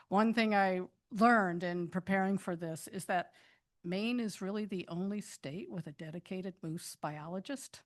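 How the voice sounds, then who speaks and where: A woman, the board president, speaking informally to a nonprofit's annual meeting. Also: mid-range voice. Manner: informal, loose phrasing. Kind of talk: casual speech at an annual meeting. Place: meeting hall.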